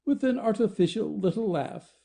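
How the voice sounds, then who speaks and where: A male narrator reads scripted stage directions for an audio play. His voice is very soft-edged and dark in tone, yet sits a little high for a man. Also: expressive, normal speed, creaky.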